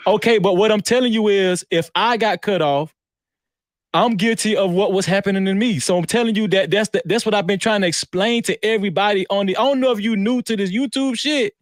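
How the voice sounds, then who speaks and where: High-voiced man arguing insistently on a call. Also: fairly quick, dry recording, clean studio sound. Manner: insistent, keeps repeating "listen, bro" and talking over others; defensive. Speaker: panelist. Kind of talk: heated online panel argument.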